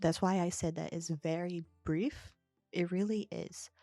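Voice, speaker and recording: A young woman talks in a slow, unhurried way. Her voice is warm and mellow. She sounds measured and self-deprecating, with faint music underneath. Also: on the low side, steady tone, dry recording. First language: Portuguese. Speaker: video essayist. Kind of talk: reading a scripted analysis, then chatting.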